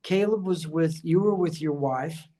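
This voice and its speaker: A man's voice: on the high side, unhurried, warm tone. An older man casually reminiscing in a group interview, earnest and stop-start, often repeating words as he goes. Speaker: musician being interviewed.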